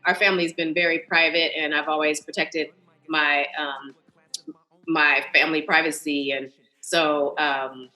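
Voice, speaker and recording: A woman's voice: deep voice, faint music behind. An American woman in her early fifties chatting casually and animatedly on a video call, telling family anecdotes as a talkative podcast guest. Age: early 50s. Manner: animated, talkative, quick to laugh. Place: indoors, on a Zoom video call. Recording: roomy, echoey video call.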